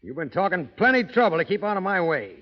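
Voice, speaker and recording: An actor playing a swaggering, belligerent American outlaw villain in an old radio western, taunting and sneering. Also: male voice, high-pitched, big pitch swings, fast. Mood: menacing. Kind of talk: 1940s radio western acting. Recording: dull vintage radio recording.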